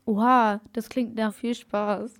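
High-voiced young girl in scripted dialogue. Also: flat delivery, slow pace. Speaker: youth radio reporter.

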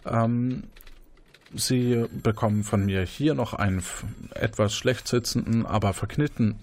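A man's voice: medium pitch, unhurried, a little mellow. A man narrating a tabletop mystery game as game master, hesitating often with fillers and restarts.

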